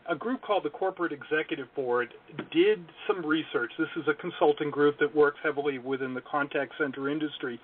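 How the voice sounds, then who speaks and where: A male consulting guest speaking earnestly and analytically in an interview, with wry self-deprecating asides. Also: on the high side. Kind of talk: podcast interview talk. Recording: tinny phone line.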